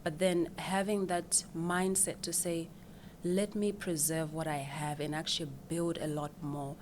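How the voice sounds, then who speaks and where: A woman's voice: deep voice, flat delivery, unhurried, a little hiss or hum. A woman from Zimbabwe, a financial planner answering interview questions in an explanatory way, often repeating words as she thinks. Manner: explanatory, with frequent repeated words and small laughs.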